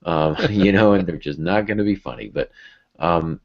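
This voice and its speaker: A man chatting informally as a podcast host, getting animated when he illustrates a point. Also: low voice, normal speed, vocal fry. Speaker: podcast host and writing teacher. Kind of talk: casual podcast discussion. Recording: dull lo-fi podcast audio.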